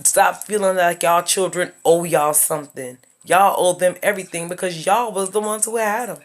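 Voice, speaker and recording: A woman's voice: on the low side, fairly even intonation, moderate pace. A woman in her thirties talking straight to her viewers in a casual advice video, emphatic and preachy, repeating her points. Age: 30s. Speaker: YouTuber giving life advice.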